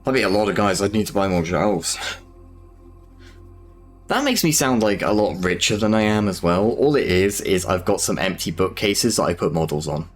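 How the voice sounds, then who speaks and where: Southern English streamer, slightly low voice, easygoing rambling chat, soft music behind. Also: male voice, lively intonation, moderate pace. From Southern England. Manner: rambling and self-deprecating, many fillers. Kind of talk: casual chat while painting miniatures.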